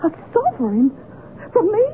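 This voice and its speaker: A woman's voice: very high voice, huge pitch swings, moderate pace, exaggerated voice. An actress playing a timid, grateful young street girl, a former servant, in an old radio drama, flustered and deferential. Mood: bewildered. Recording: tinny, noisy old radio recording.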